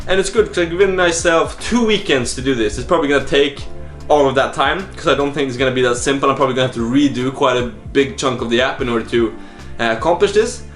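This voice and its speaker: A man's voice: mid-range voice, fast talker, a bit bright. A man talking informally and slangily, thinking aloud as he hosts a casual video diary. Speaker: host of a startup coding video diary. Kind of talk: casual vlog talk. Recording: echoey room, faint music behind.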